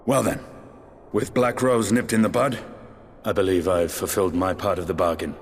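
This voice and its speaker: An actor playing an aloof, gruff lancer in a fantasy game cutscene, speaking tersely in formal, archaic phrasing. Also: male voice, sits low, fairly even intonation, normal speed, a little hiss or hum. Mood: dry, aloof. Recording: long reverb, music behind.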